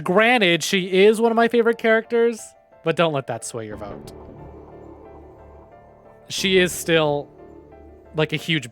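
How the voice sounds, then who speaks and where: A man livestreaming a game in a casual, chatty, informal way, talking with his viewers. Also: on the high side. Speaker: streamer hosting a visual novel playthrough. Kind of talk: casual livestream commentary mixed with reading game dialogue aloud.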